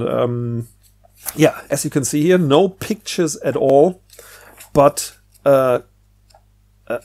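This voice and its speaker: A man's voice: a little high, warm tone. A middle-aged man talking casually and unscripted about books, hesitant and rambling, often repeating words as he thinks aloud. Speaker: book reviewer.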